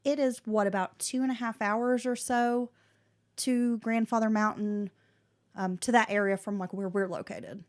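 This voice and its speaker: A woman's voice: a little high, fairly even intonation, moderate pace. A woman, co-hosting a podcast and telling a history story in a casual way, with fillers and restarts. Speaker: podcast co-host. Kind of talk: casual chat and story narration.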